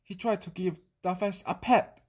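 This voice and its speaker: A woman reading a children's picture book aloud, sometimes halting and repeating phrases. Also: mid-range voice, darkish tone, husky. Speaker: storybook reader.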